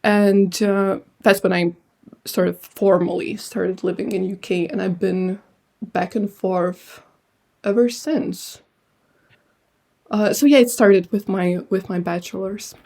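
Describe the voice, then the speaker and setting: Woman from lithuania, low-key dark voice, lots of ums, talks it through slowly. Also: steady tone, vocal fry. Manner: thoughtful, hesitant with many fillers. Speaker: translator and writer, guest. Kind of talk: casual interview answers.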